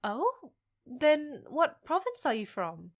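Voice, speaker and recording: Casting: actress for a street-smart, frank half-fae young woman in a fantasy audio drama; higher-pitched, wry, conversational, supportive. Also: wildly swooping pitch, slow, exaggerated voice. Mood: probing, teasing.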